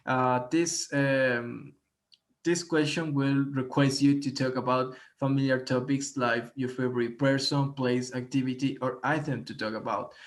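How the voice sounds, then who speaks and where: A man from Ecuador with a Spanish accent, giving a class presentation on speaking strategies, careful and halting with many restarts. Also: mid-range voice, fairly even intonation. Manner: careful, with frequent fillers and restarts. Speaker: student presenter.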